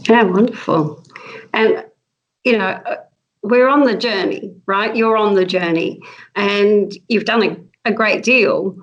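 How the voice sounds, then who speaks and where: A woman's voice: mid-range voice, a little slow, dull audio. A woman hosting an interview, asking questions in a friendly, chatty way with fillers. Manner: friendly, laughs often, fillers. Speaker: host. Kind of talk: casual interview chat.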